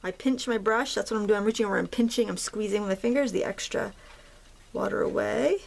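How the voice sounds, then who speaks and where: A woman hosting a craft tutorial, chatting in a relaxed, rambling way as she paints. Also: mid-range voice, quick pace, gravelly. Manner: relaxed, rambling, with fillers and soft laughs. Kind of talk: casual chatty tutorial narration.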